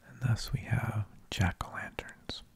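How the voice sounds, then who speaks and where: A man whispering an ASMR narration in a hushed, breathy, gravelly voice, unhurried, with drawn-out phrases and pauses, close to the microphone. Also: lowish voice. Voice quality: breathy, mostly unvoiced whisper with gravelly creak. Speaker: ASMR host.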